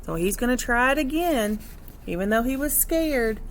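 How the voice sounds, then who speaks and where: A woman's voice: fairly high pitch, animated, moderate pace, a little hiss or hum. A woman teacher reading a picture book aloud to children, expressively, with instructive asides and questions for young listeners.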